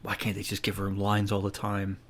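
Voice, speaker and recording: A man's voice: fairly low pitch, completely flat delivery, a little fast, fairly bright tone. A man hosting a game playthrough with casual, off-the-cuff commentary.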